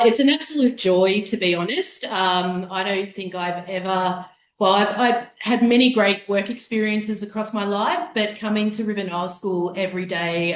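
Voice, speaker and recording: Enthusiastic female teacher, roomy echo. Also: medium pitch. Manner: enthusiastic, effusive with fillers. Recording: echoey room.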